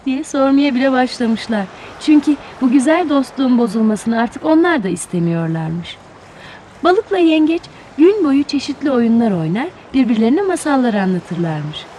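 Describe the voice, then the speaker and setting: A woman tells a children's fairy tale in a high voice. Her delivery is expressive and very melodic, with faint noise behind her. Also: moderate pace, poor audio. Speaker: storyteller.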